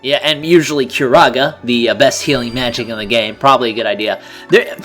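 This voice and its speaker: Man in casual game commentary, mid pitch. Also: steady tone, a little fast, a bit bright. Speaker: co-commentator on a video game playthrough. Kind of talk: casual chat. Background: game music.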